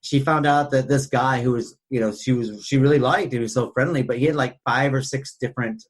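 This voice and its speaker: A man with an average-pitched voice talks as a podcast co-host. He discusses things casually and wanders through anecdotes, leaning on "like" and "you know" as he goes. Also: a little fast.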